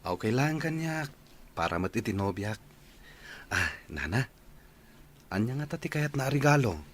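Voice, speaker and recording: A man's voice: mid-range voice, big pitch swings, a little slow, fairly bright tone, a little hiss or hum. A man playing a devoted, slightly shy young boyfriend in a radio drama, speaking politely and hesitantly with an Ilocano accent. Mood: polite, eager.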